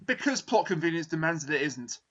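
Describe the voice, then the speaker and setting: A man's voice: a little high, very animated, brisk, fairly bright tone, muffled recording. A man hosting a casual review podcast, wordy and dryly humorous, often correcting himself, sounding tired late at night. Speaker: podcast host.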